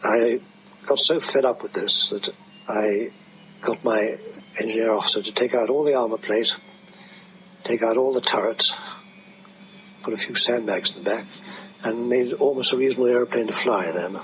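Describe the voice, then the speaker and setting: A man's voice: medium pitch, moderate pace, long pauses, fairly bright tone. An older man, a veteran officer, reminiscing in a spoken archive interview. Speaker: veteran wing commander recalling the war. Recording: muffled, noisy archive interview tape.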